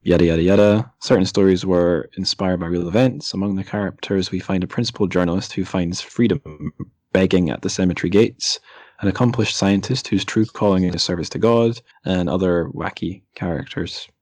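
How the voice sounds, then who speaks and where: A man's voice: low-pitched, fairly quick, a little mellow, no room sound. A man hosting a podcast, talking casually in a literary interview. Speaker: podcast host.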